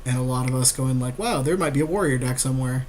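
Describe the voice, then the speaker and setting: A man's voice: mid-range voice, fast, darkish tone. A man co-hosting a podcast, casually talking through plays in a card game. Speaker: podcast co-host.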